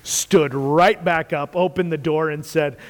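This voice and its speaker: A man with a big, carrying voice sits a touch higher than most men. He speaks as a pastor in casual, earnest faith talk, leaning on repetition. The sound rings out with long room reverb. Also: steady tone, measured pace. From United States. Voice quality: loud, carrying voice. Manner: earnest, repeats phrases for emphasis.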